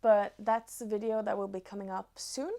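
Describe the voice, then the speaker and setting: A woman in her twenties from Norway with a Norwegian accent, chatting casually to viewers as a knitting vlogger, pausing between short phrases. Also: mid-range voice, steady tone, vocal fry, dark tone. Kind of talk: casual chat to camera.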